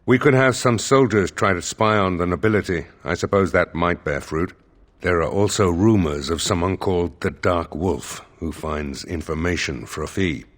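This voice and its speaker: An actor playing a dutiful, wary seneschal in a fantasy game, measured and deferential in his speech. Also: deep voice, close to an everyday voice. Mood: cautious, confiding. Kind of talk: scripted fantasy game dialogue.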